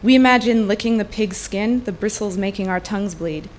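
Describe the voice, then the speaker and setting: A woman reading literary fiction aloud at a live event, in deliberate, fluent narration with pauses. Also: mid-range voice. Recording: live event with background noise.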